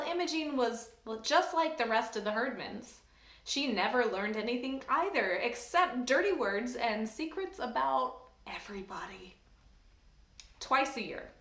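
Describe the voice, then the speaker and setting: A woman from a church staff reading a children's book aloud in an expressive, lively storytelling manner. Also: on the high side. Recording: roomy echo.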